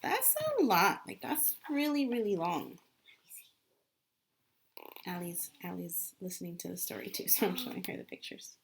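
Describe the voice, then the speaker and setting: A woman's voice: lowish voice, animated, normal speed, vocal fry, pauses often, a little hiss or hum. A woman teacher reading a book aloud as a lesson, repeating key points and adding simple explanations for children.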